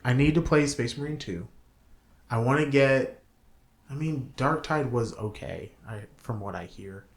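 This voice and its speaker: A man co-hosting a casual podcast chat, speaking excitably and repeating phrases for emphasis. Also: medium pitch, measured pace. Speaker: podcast co-host. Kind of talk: casual chat about games and movies.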